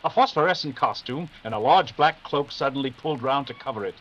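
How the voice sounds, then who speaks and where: Man acting a commanding, animated detective, muffled noisy recording. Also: mid-range voice, fairly quick, natural voice. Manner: formal, clipped, authoritative. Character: brisk, commanding, self-assured male detective. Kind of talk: 1940s radio mystery acting. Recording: dull, noisy live radio-play recording.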